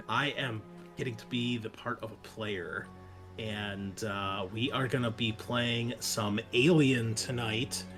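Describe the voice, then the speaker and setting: A man hosting a show, giving a chatty, informal introduction in a casual style. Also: mid-range voice. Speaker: podcast host.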